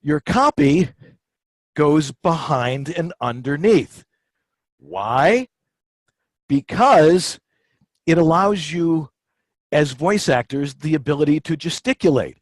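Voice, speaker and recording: Higher-voiced, animated man teaching voiceover technique. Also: dry recording. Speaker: voiceover instructor. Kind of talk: informal hands-on instructional talk.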